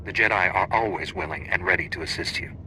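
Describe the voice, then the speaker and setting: Game hero: steady, direct, confident, strikingly forceful bright tone. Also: male voice, low voice, fast talker, a little hiss or hum, low-quality recording. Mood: assured. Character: confident, steady heroic Jedi warrior. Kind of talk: video game voice acting.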